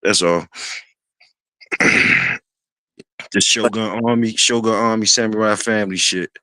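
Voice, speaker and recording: A laid-back man in his fifties talks at an easy, rambling pace as host, full of fillers and restarts, and he clears his throat often. His voice sits low. The recording is dry and close, with no room sound. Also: steady tone, a little slow, pushed and bright, dry recording, rough recording. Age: 50s. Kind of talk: casual reflective talk.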